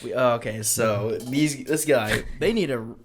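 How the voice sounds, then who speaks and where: A man's voice: mid-range voice, unhurried, a loud crowd. A young man co-hosting a casual podcast, telling self-deprecating food stories with frequent laughter. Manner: self-deprecating, giggly storyteller. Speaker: podcast co-host. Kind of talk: casual chat among friends.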